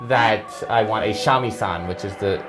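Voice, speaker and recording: A man's voice: lowish voice, normal speed, over music. A man in his forties hosting a podcast, chatting casually and rambling, often breaking off mid-sentence. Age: 40s. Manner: rambling, self-interrupting, laughs at himself. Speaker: podcast host. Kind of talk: casual chat.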